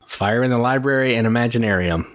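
A man's voice: mid-range voice, quick pace. A man hosting a podcast, chatting casually with laid-back, joking grumbles. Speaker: podcast host. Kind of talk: casual chat.

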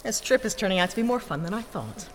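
A woman's voice: mid-range voice, lively intonation, fast, natural voice. A woman playing a tough, impatient, teasing adventurer with a blunt, mocking manner in a sci-fi audio drama. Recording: long reverb, clearly audible background noise.

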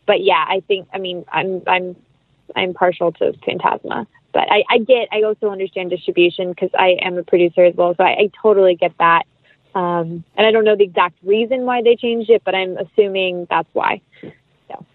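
A woman's voice: medium pitch, fairly even intonation, a little fast, no echo. A woman giving a casual interview as a guest, chatty and rambling, with a self-deprecating streak. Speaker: actress, producer and interview guest. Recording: dull, lo-fi, band-limited audio.

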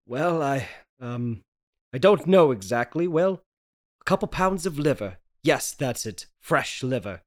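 Tormented husband, acted out, mid-pitched voice, melodramatic, pleading, secretive, radio horror drama. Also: animated, measured pace, close to an everyday voice. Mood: impatient, edgy. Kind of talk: old-time radio drama table read.

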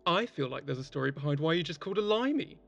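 A cheeky colleague aboard a space clinic. The delivery is breezy, joking and teasing, in audio drama style, with a mid-pitched voice over faint music. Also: expressive, quick pace, gravelly, a bit bright, muffled recording. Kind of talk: sci-fi audio drama acting.

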